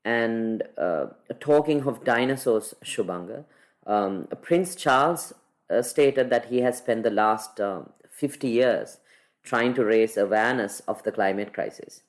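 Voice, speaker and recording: Wry podcast host with hesitant fillers. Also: mid-range voice. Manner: wry and questioning, with many "uh" and "um" pauses. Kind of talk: conversational news analysis.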